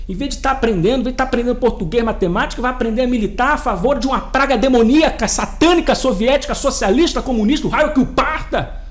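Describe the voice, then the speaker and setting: A high-pitched man with a Brazilian accent speaks straight to his viewers as an opinion vlogger. His delivery is indignant and emphatic, circling back to repeat his points. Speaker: political commentary vlogger. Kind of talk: impassioned opinion rant to viewers.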